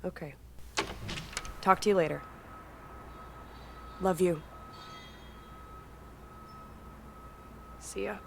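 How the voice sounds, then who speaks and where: An actress playing a weary, dutiful adult daughter in a stage drama, earnest and wistful. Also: female voice, lowish voice, slow, creaky, a little hiss or hum. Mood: placating. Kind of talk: stage play acting.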